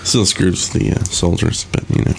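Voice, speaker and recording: A man's voice: deep voice, steady tone, normal speed, gravelly, a little mellow, slight background noise. A man co-hosting a casual gaming podcast, offering his opinions in conversational chat. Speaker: podcast co-host.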